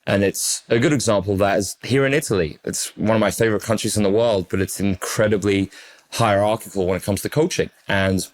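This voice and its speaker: Low-voiced English man, emphatic. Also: a little fast. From England. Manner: emphatic, fluent, repeats words for stress. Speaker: basketball coach, interview guest. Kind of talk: casual conversational interview.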